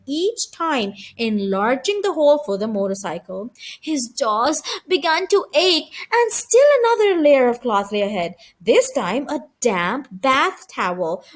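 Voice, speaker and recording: A woman reading a children's story aloud as an audiobook narrator, with expressive delivery. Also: high-pitched. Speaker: audiobook reader.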